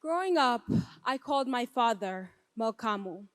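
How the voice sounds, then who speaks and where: Brief: woman from Ethiopia, deliberate live storyteller, slow and high-ish, reverberant hall. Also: lively intonation, a bit bright. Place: stage before a live audience. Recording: long hall reverb on stage.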